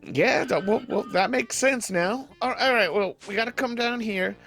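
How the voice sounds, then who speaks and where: A man's voice: high voice, moderate pace, creaky, fairly bright tone, soft background music. A man playing a bookish, fussy wasteland hermit in an improvised game roleplay, hesitant and folksy, rambling and repeating himself. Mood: helpful, wary.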